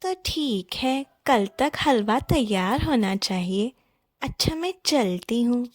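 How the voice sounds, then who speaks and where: Cartoon neighbour voiced by a woman: high voice, animated, insistent, encouraging, slow delivery, dry recording. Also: dry recording. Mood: cheerful, coaxing. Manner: animated, coaxing, effusive. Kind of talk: Hindi children's cartoon story acting.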